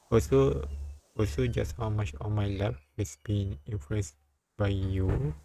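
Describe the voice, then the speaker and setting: A man reading a story and its dialogue aloud as a live streamer, often halting and repeating phrases. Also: fairly low pitch, creaky, dark tone, rough recording. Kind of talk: read-aloud narration and dialogue translation with side comments.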